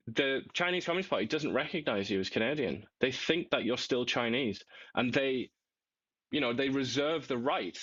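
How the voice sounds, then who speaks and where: A man's voice: medium pitch, a bit bright, dry recording. Wry man, dry dull sound. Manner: laughing, wry, rambling explainer. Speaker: co-host. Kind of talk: casual political talk show banter.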